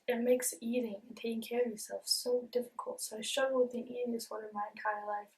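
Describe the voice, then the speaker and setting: A woman's voice: fairly high pitch, far from the mic, rough recording. A young woman vlogging casually to camera with a speech impediment that blurs some sounds, earnest and open, with many ums and repeated words. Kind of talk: casual confessional talk to camera.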